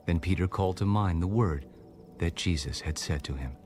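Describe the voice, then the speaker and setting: A man narrating scripture in a measured, solemn audio Bible style. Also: sits low, close to an everyday voice. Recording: music bed behind narration.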